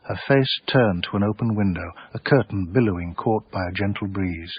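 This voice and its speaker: A man playing a cultured, sardonic art dealer with an English accent and a husky voice, narrating a radio drama in a measured, literary way. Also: fairly low pitch, no room sound. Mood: enraptured. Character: wry, cultured, obsessive small-time art dealer narrator. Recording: dry, slightly muffled radio broadcast.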